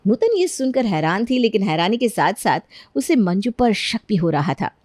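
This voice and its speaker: A woman's voice: medium pitch, animated, normal speed, darkish tone, no room sound. A woman narrating a scripted cartoon bedtime story as the storyteller.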